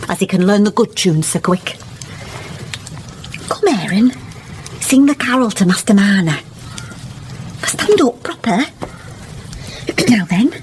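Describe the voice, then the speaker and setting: A woman's voice: mid-range voice, darkish tone, lightly acted, poor audio. Woman acting kindly churchy village mum, rural english accent, chatty radio play, bit of noise behind her. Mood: proud, motherly. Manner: chatty, homely, earnestly persuasive. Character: kindly, pious, plain-spoken village wife and mother.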